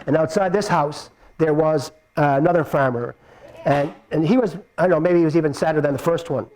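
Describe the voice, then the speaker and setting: A man telling a folk tale aloud in a live puppet show, with lively, repetitive phrasing. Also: a little high. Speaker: storyteller.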